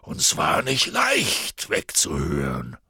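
A man voicing a huge, gruff, anxious half-giant groundskeeper in an audiobook, rambling nervously and clipping his words. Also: extremely low pitch, wildly swooping pitch, slow pace, sharp tone, no echo, studio quality, pitched below the performer's own voice, heavily acted. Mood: flustered. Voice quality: gravelly, husky. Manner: rambling, nervous, drops word endings. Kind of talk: audiobook character voice.

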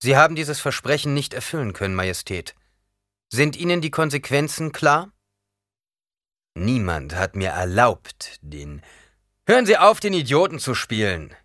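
A man reading an audiobook, voicing a cold, defiant young ruler who talks forcefully and rises into declaiming shouts. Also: medium pitch, sing-song, measured pace, no echo, studio quality, voice pushed up. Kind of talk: audiobook character voice.

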